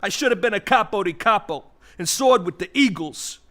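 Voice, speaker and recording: A man's voice: high voice, lively intonation, moderate pace, fairly bright tone. A man playing a bitter, sarcastic vulture with thwarted ambitions, ranting theatrically in a comic audio play. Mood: aggrieved ranting.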